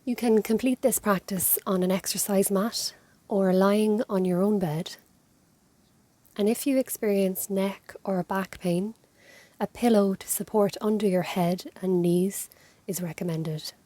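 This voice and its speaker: A woman with an Irish accent leading a guided meditation as an instructor, speaking in a gentle, soothing, unhurried manner. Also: medium pitch, fairly even intonation, no echo. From Ireland. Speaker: physiotherapist and yoga instructor leading relaxation.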